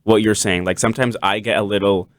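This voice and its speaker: A man's voice: fairly low pitch, fairly even intonation, quick pace, dry recording, clean studio sound. A young man from Puerto Rico hosting a podcast, talking casually in long, rambling sentences full of "like" and "kinda.". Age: young adult. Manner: rambling, many restarts and "like", "kinda". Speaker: podcast host. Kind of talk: casual conversation.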